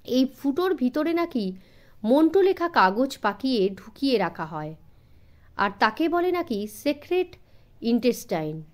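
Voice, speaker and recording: A woman reading a suspense story as an audiobook narrator, in an expressive scripted style suited to children. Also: high voice, measured pace.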